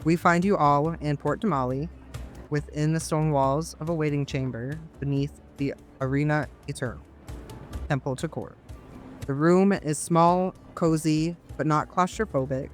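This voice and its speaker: A man running a tabletop game as game master, narrating the setting in a dramatic, measured way. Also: a little high.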